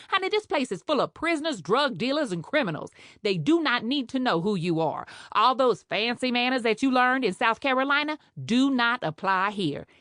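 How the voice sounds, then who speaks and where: Woman doing a brash creole head nurse, high and loud, drawling, bossy and teasing. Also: no echo, clean studio sound.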